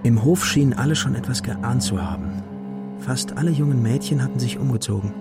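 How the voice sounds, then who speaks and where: Male actor as wistful young storyteller, measured, gravelly edge, radio drama, music behind. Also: medium pitch, lively intonation, natural voice. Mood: measured, tense. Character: young man narrating a wistful schoolboy adventure. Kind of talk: literary radio drama narration and acting.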